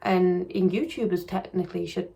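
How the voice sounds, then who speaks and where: Woman, croaky gravelly voice, low and flat, rambling casual commentary, restarts a lot. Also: moderate pace. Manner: rambling and hedging, with frequent restarts. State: croaky voice coming on. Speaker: true crime YouTuber.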